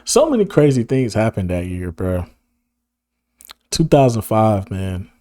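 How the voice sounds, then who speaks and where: A hoarse man of about thirty, talking casually to camera in a rambling, slang-heavy way and laughing often. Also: lowish voice, big pitch swings, measured pace, warm tone, no room sound, clean studio sound. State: hoarse, losing his voice. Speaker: video creator. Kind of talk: casual reminiscing monologue to camera.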